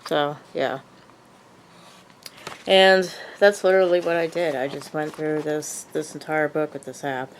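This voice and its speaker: A woman's voice: deep voice, fairly even intonation, measured pace, a little hiss or hum, poor audio. A woman with a cold, sniffly and hesitant, talking casually in a show-and-tell vlog with lots of ums and uhs. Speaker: vlogger.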